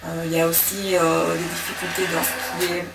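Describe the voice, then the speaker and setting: A woman's voice: lowish voice, level tone, normal speed, gravelly, roomy sound, recorded from a distance, over loud music, poor audio. A woman listing grammar difficulties in a hesitant way. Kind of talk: interview answer.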